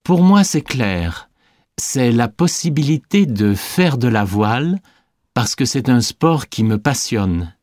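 An actor playing a young sporty French man answering scripted interview questions with clear enunciation. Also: medium pitch, very animated, takes it slowly, darkish tone, no echo, clean studio sound. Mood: friendly, measured. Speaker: interviewee in a language listening exam.

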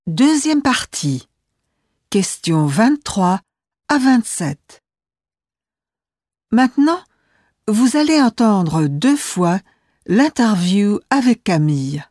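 A woman's voice: mid-range voice, very animated, slow pace, long pauses, darkish tone, dry recording, studio quality. A woman reading a scripted listening exam as interviewer and narrator, enunciating carefully.

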